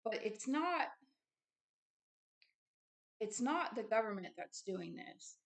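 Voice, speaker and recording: A woman hosting a religious video, reading from a book and commenting informally in a halting, earnest way with insistent asides. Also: a little high, big pitch swings.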